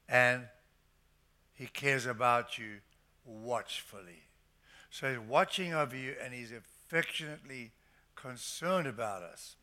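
An older man preaching a sermon to his church, stressing scripture phrases slowly and emphatically. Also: medium pitch, bright, forceful tone. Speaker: pastor.

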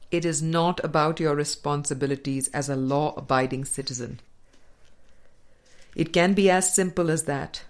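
Slow, low-toned woman reading aloud. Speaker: audiobook reader. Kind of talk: measured reading of a spiritual dialogue book.

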